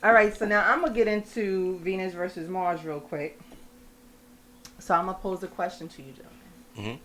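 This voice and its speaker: A woman hosting a casual radio talk show, chatty and upbeat, quick to laugh with her guests. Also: on the low side, fairly bright tone, a little hiss or hum.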